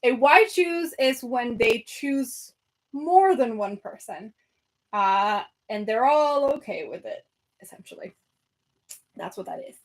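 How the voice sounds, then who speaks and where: A young woman with a Venezuelan accent talks to her viewers in a loose, rambling way, full of fillers and restarts. Her voice sits a bit high for a woman. She is at home, sorting books on her shelves as she talks. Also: lively intonation, slow pace, low-quality recording. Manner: chatty, rambling, lots of fillers. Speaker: book vlogger. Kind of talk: casual chat with live viewers.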